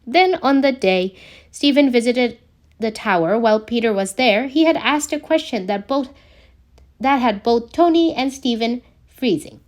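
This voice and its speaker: A woman reading a scripted story aloud as a narrator, in an expressive storytelling way. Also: a little high, unhurried. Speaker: podfic narrator reading fan fiction.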